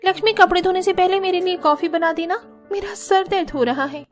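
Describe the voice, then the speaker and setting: A woman's voice: extremely high pitch, steady tone, brisk, faint music behind. A woman voicing a bossy, scheming elder sister-in-law in a Hindi family cartoon, commanding and nagging. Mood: demanding. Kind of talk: Hindi moral-story cartoon dubbing.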